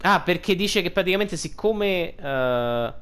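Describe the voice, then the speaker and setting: A man casually commenting on a game playthrough, informal and halting as he reads aloud and thinks out loud. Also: high voice, animated. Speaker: video game streamer. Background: game music.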